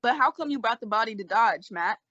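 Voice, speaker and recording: A woman's voice: a little high, lively intonation, fairly quick, bright, forceful tone. A woman playing a frank, concerned frontier town doctor in an old-time radio western, with folksy, advising talk. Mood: concerned, questioning. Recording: dull lo-fi radio drama recording.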